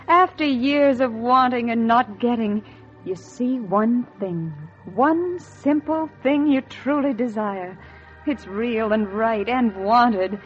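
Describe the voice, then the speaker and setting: High-voiced, yearning 1940s radio housewife. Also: female voice. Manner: intense, melodramatic, repeating phrases for emphasis. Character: wistful, embittered housewife yearning for one luxury. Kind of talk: 1940s radio acting, dramatic monologue and dialogue. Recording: muffled 1940s radio recording with music.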